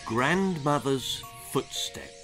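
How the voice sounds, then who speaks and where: A man's voice: medium pitch, animated, takes it slowly, over music, rough recording. A man in his sixties reading a children's ghost story aloud with theatrical suspense. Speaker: author reading own stories. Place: small book-lined room indoors.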